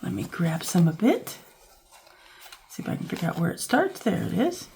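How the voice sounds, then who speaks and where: A woman with a scratchy, hoarse voice from a cold, casually talking through a craft project as she works, thinking aloud. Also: lowish voice, moderate pace. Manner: relaxed, thinking aloud while working with her hands. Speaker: craft channel host. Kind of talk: casual crafting tutorial narration.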